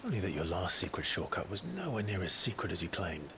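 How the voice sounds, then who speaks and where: An actor playing a brooding, sceptical younger warrior in a video game, with a dry, sardonic, terse delivery. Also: male voice, low voice, big pitch swings, fairly quick, bright, forceful tone, a little hiss or hum, exaggerated voice. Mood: dry scepticism.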